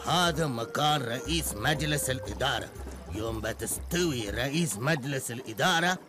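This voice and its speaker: Male actor as a self-important Emirati cartoon driver, mid-pitch, lecturing and scheming. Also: animated, moderate pace, music in the background, lightly acted. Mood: smug. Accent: Emirati Arabic. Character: smug scheming middle-aged bus driver. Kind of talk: Gulf Arabic cartoon dub.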